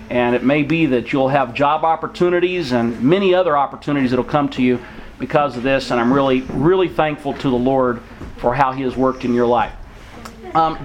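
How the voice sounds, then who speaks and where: A man's voice: fairly high pitch, a little hiss or hum. A man who grew up in Mexico, preaching an earnest, encouraging sermon to young people and reading scripture aloud. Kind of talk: sermon to missionary kids.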